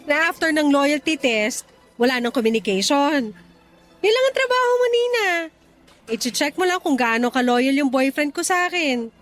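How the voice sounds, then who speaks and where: A woman's voice: high voice, expressive, normal speed, a bit bright. A young woman acting a hurt, angry, sarcastic girlfriend in a radio drama. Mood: sarcastic, accusing. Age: young adult. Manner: sarcastic, confrontational, emotional. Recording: music behind.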